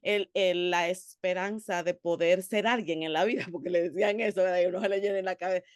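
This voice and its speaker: A woman from the Republic, giving an earnest, animated personal testimony as a theologian on a panel, with frequent hesitations. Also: lowish voice, a little fast, smooth, dry recording, studio quality. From Dominican Republic.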